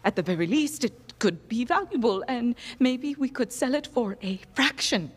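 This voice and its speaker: A woman playing a skeptical, anxious young scientist in a video game, with a husky voice and a dry, hesitant, exasperated manner. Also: fairly high pitch, animated, moderate pace. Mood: wary.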